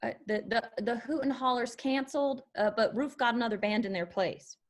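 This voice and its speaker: An actress playing a feisty young honky-tonk manager in a screenplay table read, with dry, quick-witted retorts. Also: female voice, a little high, lightly acted. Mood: exasperated.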